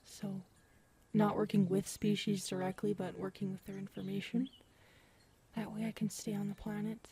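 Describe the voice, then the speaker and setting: A woman acting in an intimate sci-fi audio roleplay as a gentle, grieving character, speaking softly and haltingly, often close to a whisper. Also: medium pitch, flat delivery, a crowd in the background, close to an everyday voice. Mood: hesitant, earnest. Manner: halting, soft, hesitant, often dropping to a whisper.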